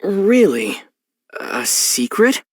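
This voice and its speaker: Thoughtful young adventurer, dry studio sound. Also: male voice, sits high, big pitch swings, extremely slow, slightly rough, no room sound, heavily acted. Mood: curious. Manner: earnest, reflective, gently wry. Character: young male adventurer hero, gentle, thoughtful, wry. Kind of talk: video game character voice lines.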